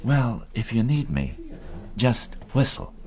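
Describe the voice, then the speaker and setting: An actor playing a gruff, sardonic middle-aged police inspector with a husky voice and dry, pointed questioning, in a naturalistic radio drama. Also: male voice, medium pitch, sing-song, slow pace, dark tone. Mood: wry.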